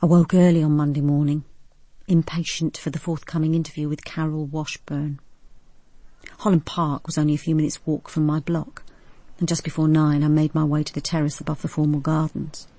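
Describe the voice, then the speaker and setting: A woman's voice: low voice, flat delivery, a little fast, dark tone, dry recording. An actress playing a composed, probing female detective in a first-person radio crime drama.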